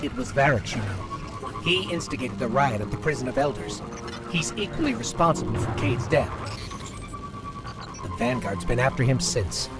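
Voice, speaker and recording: An actor playing a small, worried robot companion in a video game, explaining things earnestly. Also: male voice, medium pitch, very animated, normal speed, pauses often, over loud music, close to an everyday voice. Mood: urgent, concerned.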